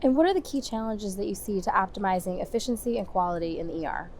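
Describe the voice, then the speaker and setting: A woman works as a reporter, delivering formal scripted lines at a brisk clip. Her pitch is average, and there is faint ambient noise behind her. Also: lively intonation, darkish tone. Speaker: reporter and interviewer. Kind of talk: scripted news interview. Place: conference venue.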